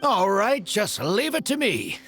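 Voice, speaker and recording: A man playing a gravely ill former desert mercenary, who is sincere and apologetic. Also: high voice, big pitch swings, a little slow, a bit bright, soft background music, full character voice. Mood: eager. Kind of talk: game voice acting.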